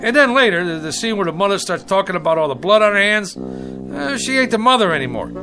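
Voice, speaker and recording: An anxious, slangy small-time writer on the make. His voice is high and very animated, wheedling in rough street grammar. Music runs beneath the scene. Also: fast. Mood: glib, placating. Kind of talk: comic TV drama acting. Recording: music under the dialogue.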